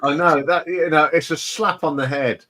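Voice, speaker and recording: A man's voice: fairly high pitch, fairly even intonation, normal speed, bright, forceful tone. A man chatting informally and telling anecdotes as a record collector. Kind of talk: casual chat with anecdotes.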